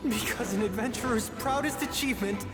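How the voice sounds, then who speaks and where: A woman's voice: fairly high pitch, brisk, lightly acted. A woman voicing an earnest, admiring young boy adventurer in a video game. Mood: sincere. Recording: game audio with loud music.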